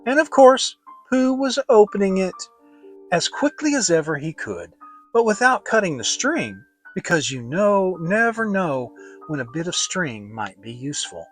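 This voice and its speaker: A man narrates a children's story as a dramatic reading. His voice sits fairly high for a man and swings widely in pitch, while the pace stays unhurried. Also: sing-song, faint music behind, rough recording. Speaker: audiobook narrator.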